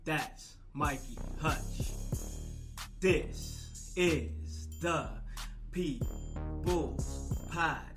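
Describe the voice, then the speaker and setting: A man hosting a wrestling podcast, energetic and loud, with whoops and fast promos. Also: a little high, over music. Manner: energetic, shouting woos. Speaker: podcast host. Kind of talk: hype podcast chat.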